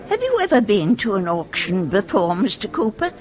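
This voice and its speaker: A woman's voice: medium pitch, wildly swooping pitch, moderate pace. Swooping, genteel old English lady. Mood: gracious, wistful. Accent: upper-class English RP. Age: 80s. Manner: gracious, refined, effusive. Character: genteel, kindly elderly widowed lady employer. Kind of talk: British radio drama acting. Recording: muffled vintage radio recording.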